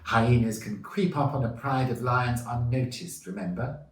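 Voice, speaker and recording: A man's voice: mid-range voice, moderate pace, echoey room, a little hiss or hum, pitched above the performer's own voice, close to an everyday voice. A man voicing a confident, reassuring father hyena in a children's storybook. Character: confident hyena father, devoted joke-telling dad.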